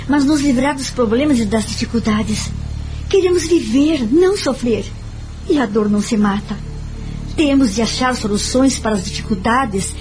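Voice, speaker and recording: An actress with a Brazilian accent playing a gentle, reflective woman friend in an audio drama, speaking earnestly and explaining. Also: on the high side, close to an everyday voice, low-quality recording. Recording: music behind the voice.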